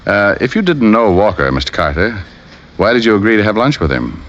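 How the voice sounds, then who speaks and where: An actor playing a dry, wisecracking, probing private detective in old radio drama style. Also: male voice, on the low side, sing-song, a bit bright. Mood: probing, suspicious. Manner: dry, questioning, quick with quips. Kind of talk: 1940s-50s radio detective acting. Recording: muffled vintage radio recording.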